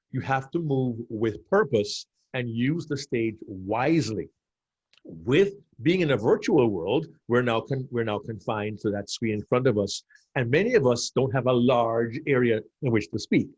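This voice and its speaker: A man's voice: mid-range voice, darkish tone, husky, lo-fi sound. A man in his fifties from Jamaica, coaching on public speaking as a podcast guest, emphatic and given to repeating his key phrases. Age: 50s. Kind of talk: animated coaching explanation.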